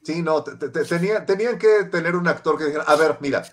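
A man with a Mexican Spanish accent co-hosting a fan podcast over a video call, talking in a lively way with quick asides and stammered restarts. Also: fairly high pitch. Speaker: podcast co-host.

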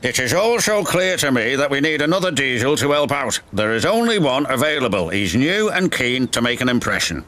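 A man's voice: medium pitch, big pitch swings, fairly quick, hardly any pauses, sharp tone, dry recording, voice pushed up. A man playing a stern, bossy railway controller with an authoritative, scolding manner in a children's storybook. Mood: firm, businesslike.

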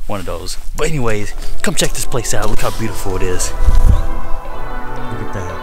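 A young man vlogging his fishing trip outdoors by a lake, talking casually with hyped-up excitement as he catches fish. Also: on the low side, normal speed, music in the background.

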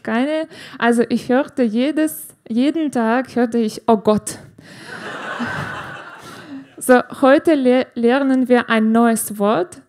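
Ukrainian woman doing stand-up, higher voice, slow and halting, lots of restarts, handheld mic on stage. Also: a little mellow. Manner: halting, searching for words with many restarts. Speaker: stand-up comedian. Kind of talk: stand-up comedy set. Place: comedy club stage with live audience.

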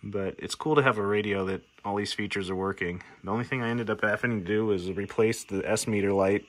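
A man's voice: on the low side, steady tone, fairly quick. A man from the United States giving a casual, plainspoken, matter-of-fact demonstration as a radio repair hobbyist. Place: indoor workshop at a workbench.

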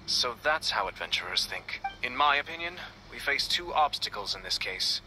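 An actor playing a composed, courteous young nobleman in a video game, speaking in a formal, measured way. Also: mid-range voice, big pitch swings, over music, natural voice, rough recording.

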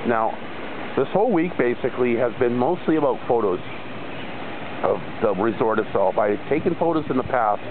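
A man's voice: mid-range voice, lively intonation, moderate pace. A middle-aged man chatting casually by an outdoor resort pool, filming himself on a phone held at arm's length, rambling and repeating himself with many fillers. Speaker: travel vlogger. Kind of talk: casual rambling vlog monologue. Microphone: phone camera held low at arm's length. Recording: tinny phone audio, outdoor noise.

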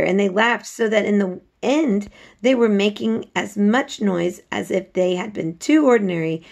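Husky, creaky-voiced woman reading aloud. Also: mid-range voice. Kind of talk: read-aloud storytelling with casual asides. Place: small home room indoors. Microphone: phone at arm's length.

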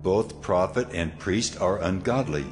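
A man with a deep voice gives a dramatized Bible reading. He sounds solemn, and music plays behind his narration. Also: a little slow.

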